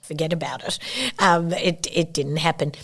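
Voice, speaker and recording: A woman's voice: deep voice, steady tone. A woman, thoughtfully recounting her family's story as an interview guest. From Australia. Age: 70s. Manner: thoughtful, unhurried recollection with warm asides. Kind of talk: reflective personal storytelling.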